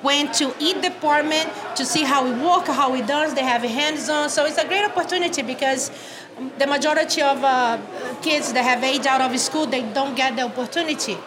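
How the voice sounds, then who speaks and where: A woman's voice: sits high, moderate pace, crowd noise. A woman with a Brazilian accent, a native Portuguese speaker, explaining community programs conversationally as an interview guest. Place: video call.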